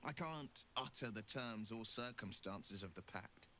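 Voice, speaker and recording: A man's voice: on the low side, a little slow, pushed and bright, slight background noise. A man playing a noble, burdened young monster hunter in a video game, solemn and declamatory. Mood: solemn, proud.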